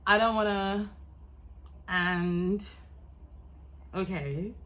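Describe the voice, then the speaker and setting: A woman's voice: mid-range voice, fairly even intonation, very slow, a bit bright. A woman in her twenties talking casually to camera, rambling, going off topic, swearing and laughing often. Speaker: vlogger.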